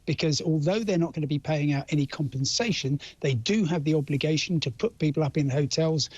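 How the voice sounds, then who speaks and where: A British man with a fairly high, husky voice reports as a travel expert in a live news interview. His phrasing is halting and understated, and the link sounds dull and lo-fi. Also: a little mellow, dry recording.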